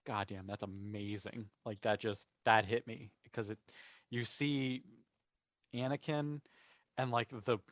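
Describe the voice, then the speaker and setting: A man's voice: lowish voice, steady tone, a little slow, no echo. A man speaking earnestly and emphatically as a panelist in a casual fan discussion. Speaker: podcast panelist.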